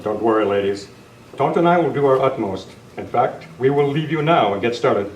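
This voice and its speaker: A man's voice: medium pitch, expressive, brisk, natural voice. Man acting courteous western lawman, confident, formal, amateur radio drama, echoey room, faint noise. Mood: courteous, assured. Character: upright, courteous masked cowboy lawman hero, confident. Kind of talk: amateur old-time radio script reading. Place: club meeting room. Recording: roomy, distant camera mic, faint noise.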